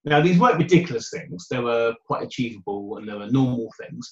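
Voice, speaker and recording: A British man in a dating coach interview, with a loud voice and an upbeat, chatty way of talking. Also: mid-range voice, expressive, a little fast. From United Kingdom. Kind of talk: conversational interview answers. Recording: roomy, dull remote audio.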